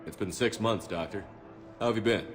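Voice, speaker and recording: An actor playing a decisive male military commander in a video game cutscene, speaking in brisk, direct orders. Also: lowish voice, in a large hall, soft background music, lightly acted. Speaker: ship's commander.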